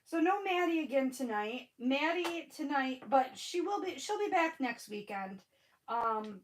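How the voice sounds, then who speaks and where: Woman, slightly high voice, Michigan accent, stuffy nose, rambling live-stream chat. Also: poor audio. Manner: chatty, rambling, greets viewers by name; frequent fillers and restarts. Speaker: livestream crafting host.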